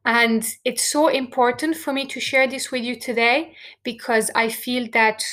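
A woman's voice: on the high side, fairly even intonation, a little slow, fairly bright tone. A woman with a French accent, a native French speaker from France, sharing a personal story in an earnest, heartfelt monologue to her listeners. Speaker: podcast host.

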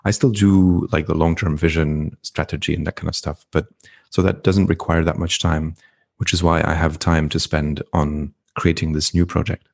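A middle-aged man from Flanders, speaking as a podcast guest in a reflective, unhurried way with frequent ums. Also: very deep voice, level tone, gravelly. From Belgium (Flanders). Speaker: guest, entrepreneur and coach. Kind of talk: podcast interview conversation.

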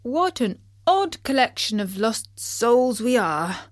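A woman's voice: a little high, sing-song, takes it slowly, no room sound, studio quality, voice pushed up. A woman voicing a cheery, curious male animal companion who talks in a musing, reassuring, old-fashioned way.

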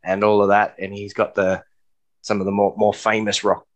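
A man's voice: lowish voice, monotone, moderate pace. A man hosting a casual interview, often repeating words as he gathers his thoughts. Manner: stammering, repeats words while thinking. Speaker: host.

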